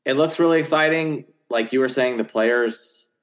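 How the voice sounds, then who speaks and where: A man's voice: medium pitch, moderate pace. An American man co-hosting a podcast, chatting casually about sports in an easygoing, noncommittal way. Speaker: podcast co-host.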